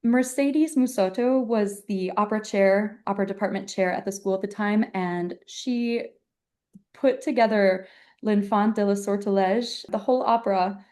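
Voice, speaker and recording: A young woman from Germany speaks as a podcast guest with a mellow, warm tone. She explains her teaching in a thoughtful, measured way, often softening points with "kind of". Her pitch sits in a middle range. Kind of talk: podcast interview.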